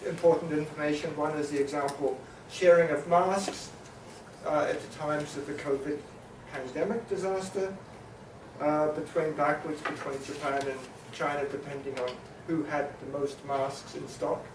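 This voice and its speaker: A man from New Zealand moderating a conference panel discussion, summarizing points with many pauses and filler sounds. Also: fairly high pitch, steady tone, background noise. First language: Maori. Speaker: panel facilitator. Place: conference hall. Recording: echoey hall, mic at a distance.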